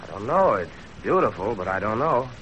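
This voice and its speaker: An actor playing a proud, plain-spoken young revolutionary leader of peasant birth, in 1930s radio drama style. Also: male voice, on the low side, very animated, brisk, fairly bright tone. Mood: wondering, blunt. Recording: muffled, faintly noisy 1930s radio broadcast.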